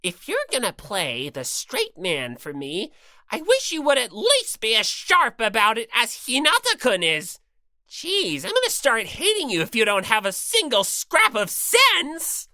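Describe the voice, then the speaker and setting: A woman's voice: fairly high pitch, huge pitch swings, a little slow, bright, forceful tone, no room sound, exaggerated voice. A woman voicing a gleefully cruel, bossy male robot bear mascot, taunting and theatrical, for an audiobook. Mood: scornful, bossy. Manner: theatrical, taunting, mock-polite then bellowing. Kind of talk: audiobook character voice.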